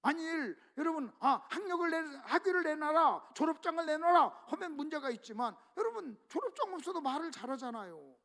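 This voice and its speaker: A man's voice: very high-pitched, sing-song, fairly quick, few pauses, a bit bright. A male pastor preaching a revival sermon emphatically, often calling out to the congregation.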